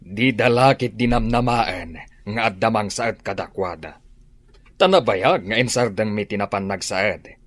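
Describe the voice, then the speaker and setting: A man's voice: on the low side, expressive, measured pace, dry recording. A man acting a tough, boastful, assertive fellow in a radio drama. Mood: assured. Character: tough, boastful man lying in wait for enemies.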